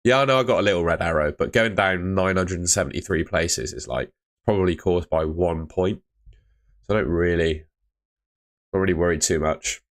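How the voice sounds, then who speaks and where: Low-voiced man going hoarse. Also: normal speed. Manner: laid-back, chatty, reads and answers the live chat. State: losing his voice. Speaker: streamer. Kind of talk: live stream chat with viewers.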